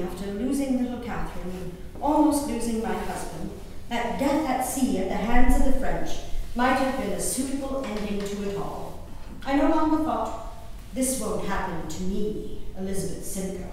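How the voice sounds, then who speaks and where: A woman performing a historical diary as a resolute, haunted gentlewoman recalling peril at sea, in theatrical, measured phrases with dramatic pauses. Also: medium pitch, lightly acted. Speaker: stage performer. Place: live reading in a reverberant hall. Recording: distant echoey hall, noisy room recording.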